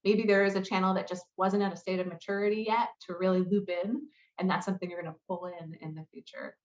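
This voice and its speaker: A woman's voice: lowish voice, fairly even intonation, quick pace. A woman giving a business presentation and answering questions as a marketing lead. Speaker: conference presenter, head of customer marketing. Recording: roomy echo, slightly muffled sound.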